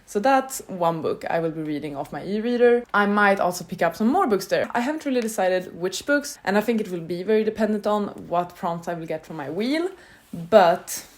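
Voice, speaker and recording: Chatty, rambling woman vlogger. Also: lowish voice. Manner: chatty and rambling, loosely structured. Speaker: book vlogger. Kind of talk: casual chat to camera.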